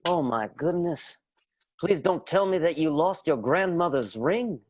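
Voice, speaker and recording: An actor playing a smitten, hammy strongman suitor, halting and flustered, in an improvised comic radio soap. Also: on the high side, expressive, darkish tone.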